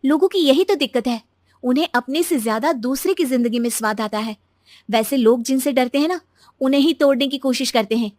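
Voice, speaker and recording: A woman voicing an earnest, encouraging young daughter-in-law who reasons gently in a cartoon moral story. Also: high voice, brisk, dry recording, clean studio sound, close to an everyday voice. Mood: concerned, determined.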